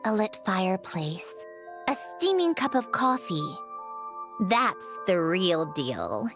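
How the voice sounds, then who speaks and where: A young genius inventor in a video game, self-assured and dry with a prickly edge. Her mid-pitched voice moves up and down a lot, with music behind it. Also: very animated, takes it slowly. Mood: wistful.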